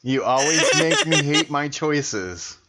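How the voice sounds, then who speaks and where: A woman's voice: sits low, expressive, takes it slowly. A woman playing a tabletop game, casually chatting and narrating her character's moves, on a slightly noisy track with clicks.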